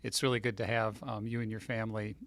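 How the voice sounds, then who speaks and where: A man's voice: lowish voice, little pitch movement, fast talker, vocal fry, no echo, studio quality. A man hosting a podcast interview, speaking in a friendly, appreciative, easygoing way. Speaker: podcast host, county executive. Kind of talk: casual interview.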